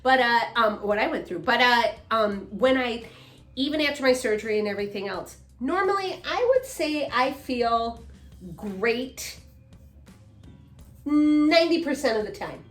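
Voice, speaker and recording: A woman's voice: fairly high pitch, lively intonation, a little slow. A woman in her fifties co-hosting a casual chat, rambling with many restarts and fillers. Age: 50s. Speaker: co-host, mother. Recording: echoey room, faint music.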